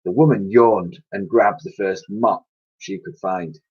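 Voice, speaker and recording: A man reading a children's story aloud as a teacher would, in an animated narrating style. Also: deep voice, unhurried, husky. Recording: dull lo-fi recording.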